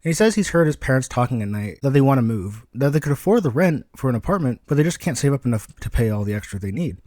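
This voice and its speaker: A man's voice: medium pitch, lively intonation, fast talker, mellow, no echo, clean studio sound, lightly acted. A man voicing a kind, eager young boy in a story, speaking politely and earnestly. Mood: earnest, pleading.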